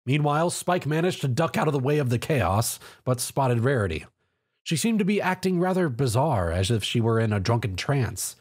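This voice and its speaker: A man's voice: medium pitch, sing-song, moderate pace, breathy edge. A man narrating a scripted story as a dramatic reader. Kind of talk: scripted narration, dramatic fan fiction reading.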